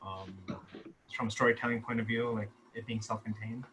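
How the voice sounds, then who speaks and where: A man's voice: on the low side, fairly even intonation, a little fast, a bit bright, a little hiss or hum. A man talking casually in a group discussion as a filmmaker, explaining his own work. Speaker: filmmaker discussing his short script at a table read.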